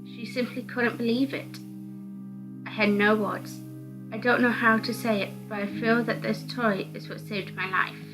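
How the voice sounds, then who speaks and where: A woman's voice: fairly high pitch, steady tone, moderate pace, low-quality recording. A woman reading a visual novel game aloud as dramatic narration. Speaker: host of a visual novel read-through. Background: background music.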